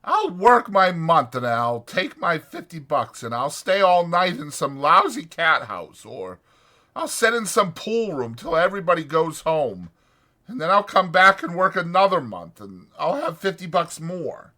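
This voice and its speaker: A man's voice: a little high, big pitch swings, unhurried, pushed and bright, voice pushed up, full character voice. A man voicing a weary, resigned but protective ranch worker in an audiobook, with blunt, ungrammatical rural working-man speech. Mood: hopeless. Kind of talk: dramatized audiobook character voice.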